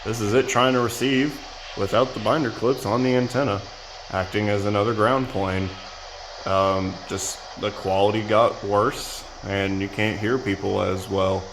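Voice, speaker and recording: Man, lowish pitch, casual reviewer, plain-spoken explaining, faint background noise. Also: fairly even intonation, unhurried. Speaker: presenter reviewing radio gear. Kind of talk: casual product demonstration.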